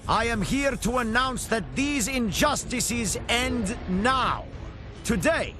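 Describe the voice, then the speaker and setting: An actor playing a proud, defiant independence leader giving a solemn, declamatory political speech in a game. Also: male voice, very high voice, lively intonation, takes it slowly, over music, exaggerated voice. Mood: proud, resolute.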